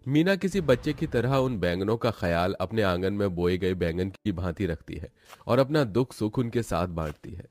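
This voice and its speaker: Deep-voiced man narrating a story. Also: expressive, normal speed, a little mellow, no echo, clean studio sound. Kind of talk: scripted cartoon story narration.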